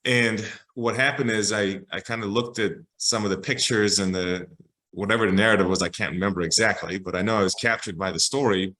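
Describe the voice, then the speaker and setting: A man's voice: on the low side, fairly even intonation, a little fast, bright, forceful tone, low-quality recording. An American man speaking as an interview guest, explaining things informally and conversationally, often checking in with the listener. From Los Angeles, United States. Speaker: public historian, interview guest.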